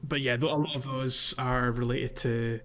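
A man's voice: mid-range voice, fairly even intonation, normal speed. Rambling man on a gaming podcast. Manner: rambling, hesitant with many fillers. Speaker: podcast co-host. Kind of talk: casual gaming podcast chat.

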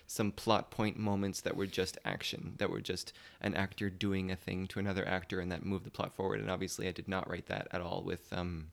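A man with a fairly low voice talks casually as an interview guest. He is loose and giggly, letting thoughts trail off and picking them up again. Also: level tone, a little fast, gravelly, few pauses. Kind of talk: casual chat.

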